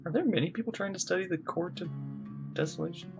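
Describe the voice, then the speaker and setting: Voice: mid-range voice, quick pace, gravelly. A streamer giving casual, laid-back and wry commentary while playing a game. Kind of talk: casual gameplay commentary and reading along. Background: game music.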